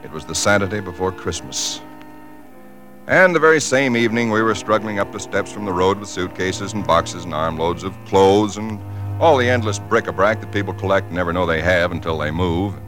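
An actor playing a weary, dismissive husband who recalls events in a 1940s radio drama style. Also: male voice, low-pitched, brisk, a bit bright. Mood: reflective, measured. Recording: vintage radio recording with music behind.

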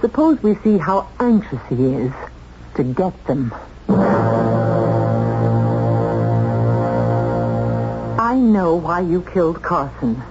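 An actress playing a shrewd, determined woman in a 1970s radio drama, with intense, deliberate delivery. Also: on the low side, big pitch swings, over music. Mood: cool, bargaining. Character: determined, shrewd woman accused of murder, confronting the killer. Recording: muffled, noisy old radio drama tape.

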